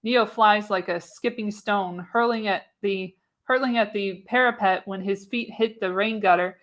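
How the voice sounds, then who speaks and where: A woman reads stage and action directions as the narrator of a radio play. Her voice sits at a medium pitch, and her delivery is dramatic and scripted, with an occasional stumble over a word. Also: fairly even intonation, normal speed, a bit bright. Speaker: narrator, show host. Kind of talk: reading screenplay directions for live radio play.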